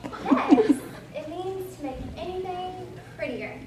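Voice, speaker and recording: A woman's voice: very high-pitched, slow. A woman in readers' theatre playing a polite, curious and slightly indignant young girl. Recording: roomy distant recording, faint noise.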